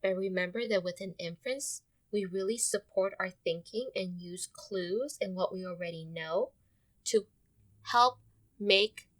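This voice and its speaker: A woman's voice: mid-range voice, unhurried, fairly bright tone. A woman teacher giving an interactive storybook read-aloud, explaining and addressing her young listeners directly.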